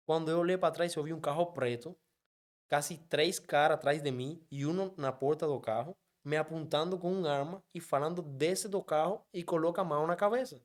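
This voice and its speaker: A man from Cuba, a native Spanish speaker with a Cuban accent, telling a story in a casual interview and slipping Spanish words into his Portuguese. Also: a little high. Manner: animated, quick, mixes Spanish words into Portuguese. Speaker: guest, app driver.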